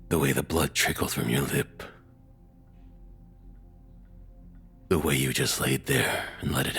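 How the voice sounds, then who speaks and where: A man playing a controlling, cruel ex-boyfriend in an intimate audio roleplay, with a husky, rough-edged voice and a taunting, domineering, deliberate delivery. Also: extremely low pitch, fairly bright tone, full character voice. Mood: menacing.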